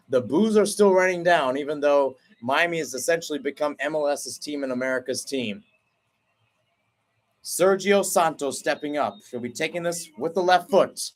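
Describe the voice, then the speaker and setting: Excited young man calling a penalty shootout. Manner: energetic, jumps in pitch and volume at each kick. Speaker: radio play-by-play announcer. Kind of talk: excited sports commentary. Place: at home, not at the stadium.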